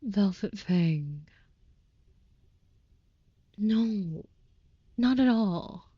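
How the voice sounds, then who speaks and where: A woman's voice: mid-range voice, expressive, very slow, breathy edge, no echo, lo-fi sound, heavily acted. A woman voicing a devoted, overprotective female vampire in an intimate audio roleplay, with formal, old-fashioned, tender and earnest phrasing.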